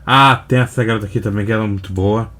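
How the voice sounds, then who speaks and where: Low-voiced Brazilian man reacting casually. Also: steady tone, rapid-fire, sharp tone. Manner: informal, slangy, interjecting. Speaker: reaction video host. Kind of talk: casual off-the-cuff commentary.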